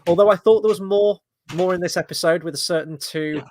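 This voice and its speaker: A young man co-hosting a casual chat, speaking in a measured, hesitant, thoughtful way. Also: high-pitched, a little mellow, slight background noise. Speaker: co-host.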